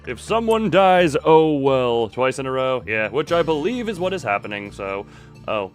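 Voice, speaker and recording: A man's voice: mid-range voice, very animated, moderate pace, faint music behind. A man giving casual livestream commentary on a game, rambling and breaking off his own sentences with wry asides. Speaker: video game streamer.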